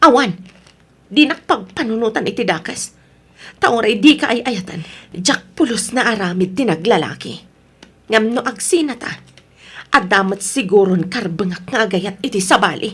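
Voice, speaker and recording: A woman's voice: mid-range voice, expressive, normal speed, fairly bright tone, slightly rough. A woman playing an unhappy wife and mother who wants her freedom, in an Ilocano radio drama. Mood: pleading.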